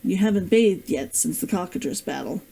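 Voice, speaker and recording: A woman voicing a guarded, gruff male adventurer in a fantasy storybook, speaking tersely and earnestly. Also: lowish voice, lively intonation, normal speed, dark tone, full character voice, rough recording. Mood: annoyed.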